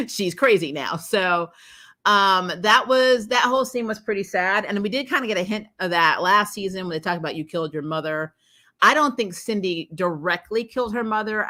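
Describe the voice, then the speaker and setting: A woman with a somewhat low voice and a bright, forceful edge hosts an off-the-cuff review. She talks casually and slangily, peppering her sentences with fillers like "you know". She is animated and acts out reactions as she retells scenes. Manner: animated, exclaiming, acts out reactions, laughs often; informal slangy talk with frequent "like" and "you know". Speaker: host of a TV episode review show.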